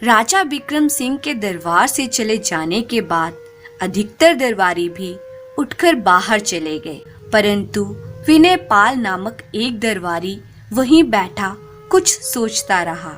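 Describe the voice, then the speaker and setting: A woman narrating a scripted moral folk tale in a measured, expressive storytelling manner. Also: medium pitch, faint music behind.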